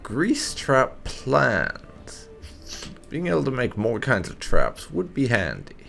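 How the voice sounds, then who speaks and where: A man's voice: medium pitch, huge pitch swings, slow, creaky, a bit bright, faint music behind. A man giving roleplay playthrough commentary on a video game, musing with wry understatement. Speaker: gaming channel host.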